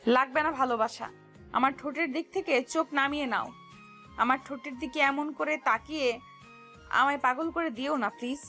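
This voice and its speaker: A woman's voice: sits high, normal speed, sharp tone, soft background music. A woman playing a flustered, pouty young fiancée who scolds and protests coyly in a romantic audio story. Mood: coy, pleading.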